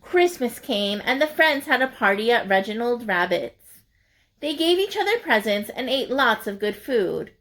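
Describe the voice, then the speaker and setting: A woman's voice: a little high, a bit bright. A woman reading a picture book aloud like a teacher, in a sing-song, explaining way for young listeners.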